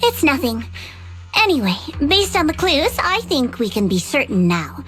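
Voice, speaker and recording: An actress voicing a cynical, guarded young woman in a fantasy game, speaking with cool, deliberate, sardonic delivery. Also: sits high, big pitch swings, a bit bright, faint music behind. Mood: grave, analytical. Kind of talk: English video game voice acting.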